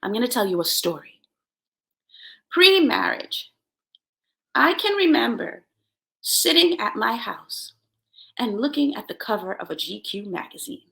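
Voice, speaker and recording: High-pitched, very animated motivational woman. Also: measured pace, vocal fry, pauses often, rough recording. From Jamaica. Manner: emphatic, expressive, repeats key words for effect. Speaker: self-help show host. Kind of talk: motivational talk with personal storytelling.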